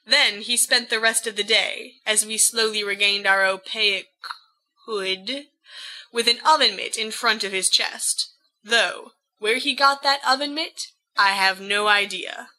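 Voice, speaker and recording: Bright, sharp-voiced woman reading playfully. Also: medium pitch, a little slow, poor audio. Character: cocky, flamboyant, lovestruck young man writing letters. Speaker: volunteer audiobook reader. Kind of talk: expressive reading of fiction letters.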